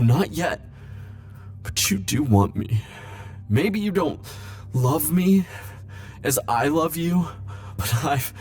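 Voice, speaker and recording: Guy playing a lovesick immortal romantic, dark mellow voice, super slow, breathy n pleading, asmr roleplay. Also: medium pitch. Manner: breathy pleading, hesitant, drawn-out tender phrasing. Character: lovestruck immortal man, hopeless romantic, yearning and tender.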